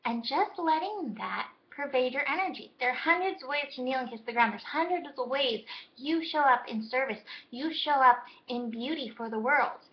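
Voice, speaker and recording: A woman astrologer giving a daily horoscope in a gentle, reflective, spiritual way, her voice hoarse as she loses it. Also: high voice, expressive, moderate pace, few pauses, lo-fi sound.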